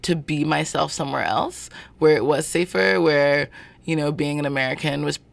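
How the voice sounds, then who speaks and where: A man being interviewed as a guest about his work, speaking in a thoughtful, searching way with many pauses and fillers. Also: a little high, vocal fry, dry recording. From born in North Carolina, family from Zimbabwe. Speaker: guest, performer of a show. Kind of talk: reflective interview talk.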